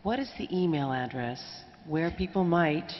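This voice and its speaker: A woman's voice: sits low, animated, normal speed. A woman acting as moderator at a live public forum, closing out audience questions. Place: large hall at a public forum. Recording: dull, reverberant hall recording.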